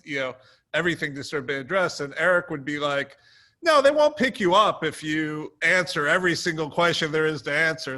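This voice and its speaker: A man with a fairly high, very bright and forceful voice talks casually as a writer on a panel. He tells things like little stories, slipping into quoted remarks as he goes.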